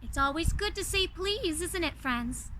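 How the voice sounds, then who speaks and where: A woman reading a storybook in an animated, gushing way, coaxing young listeners with direct asides. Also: extremely high pitch, measured pace, slight background noise. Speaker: children's storyteller, reader in a princess character.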